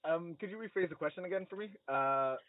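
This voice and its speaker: A man in a casual podcast discussion, feeling his way through his thoughts in a hesitant, halting way. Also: a little high. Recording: narrow phone-like band, dry.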